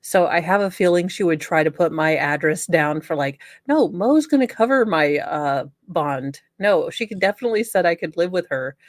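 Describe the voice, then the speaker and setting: A woman's voice: lowish voice, expressive, normal speed, few pauses, no room sound, studio quality. A woman hosting a comedy show, mixing theatrical, sarcastic dramatic reading with casual commentary. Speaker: show host.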